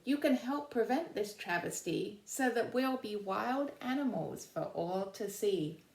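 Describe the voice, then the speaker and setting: A woman's voice: mid-range voice, animated, a little slow, gravelly. Sad endangered picture-book creature, read by a woman, earnest pleading verse, echoing room sound. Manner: earnest rhyming appeal. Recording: roomy, echoing indoor sound.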